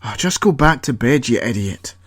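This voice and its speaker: A man's voice: mid-range voice, big pitch swings, moderate pace, no echo. Irritable, protective male inventor for an audio story, voiced by the narrator, exasperated and snappish, very dry sound. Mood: sarcastic, angry.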